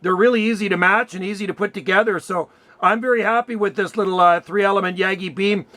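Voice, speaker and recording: A man's voice: high voice, fairly even intonation, fast talker, pushed and bright, dry recording. A man talking casually and colloquially as an amateur radio operator, often affirming what he has heard. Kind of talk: casual radio contact chat.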